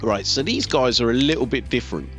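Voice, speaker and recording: A man's voice: mid-range voice, sing-song, brisk, fairly bright tone. A man giving casual live commentary on a game, thinking aloud as he talks through his tactics. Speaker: let's play host. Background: video game music, artillery fire and vehicles.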